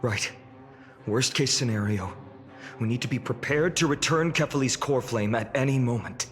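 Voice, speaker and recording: A man's voice: mid-range voice, moderate pace, soft background music, lightly acted. Man acting an earnest, resolute young warrior leader. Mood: solemn, determined. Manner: solemn, declarative, heroic delivery. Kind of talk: English video game voice acting.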